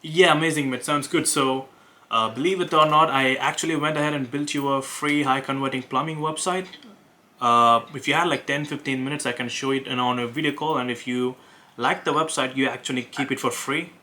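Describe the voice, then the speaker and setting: A young man with an Indian accent, talking casually to camera and pitching on sales calls, hesitant with many fillers and restarts. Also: fairly even intonation, a little fast, bright, forceful tone. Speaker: web design agency owner making cold calls.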